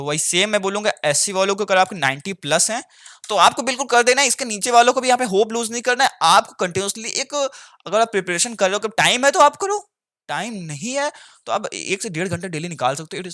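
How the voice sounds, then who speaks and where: Bright-voiced young Indian man explaining informally. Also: high voice, dry recording, clean studio sound. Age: young adult. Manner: repeats points for emphasis, switches between Hindi and English. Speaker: exam advice YouTuber and candidate. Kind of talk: informal explainer addressed to viewers.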